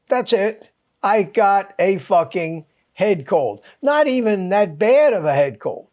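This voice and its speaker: A man's voice: sits high, lively intonation, slow, a little mellow. A man hosting his own channel, ranting to viewers in short, punchy, exasperated phrases and swearing for emphasis. He has a mild head cold. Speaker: channel host.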